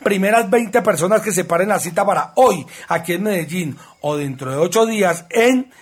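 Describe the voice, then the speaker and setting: Husky high-voiced man pitching a radio ad. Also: lively intonation, moderate pace. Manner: insistent, repeats phone number. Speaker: psychic advertising readings.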